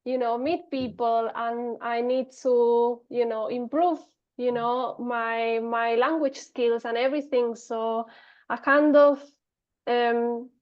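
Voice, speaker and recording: A woman's voice: fairly high pitch, steady tone, takes it slowly, clear, resonant tone, dull audio. A Spanish-accented woman in her thirties, a podcast guest talking casually with many fillers and restarts. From Spain. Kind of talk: casual interview talk.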